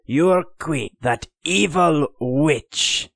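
A man's voice: medium pitch, expressive, normal speed, no echo, clean studio sound, voice pushed up. A man reading an audiobook, voicing a proud, desperate Spanish colonel held prisoner, with defiant, anguished pleading. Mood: jeering.

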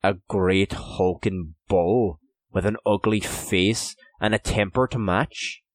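A man's voice: sits low, fairly even intonation, takes it slowly, no echo. A man voicing a defiant, grumbling Irish prisoner with a scornful, colloquial manner in an audiobook story. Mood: grim, scornful.

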